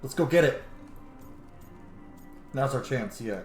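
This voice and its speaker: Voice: medium pitch, animated, takes it slowly, darkish tone. A streamer giving casual gameplay commentary, with gushing, sweary asides to the game. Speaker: streamer playing a roleplay game. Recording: roomy echo, game music behind. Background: video game music.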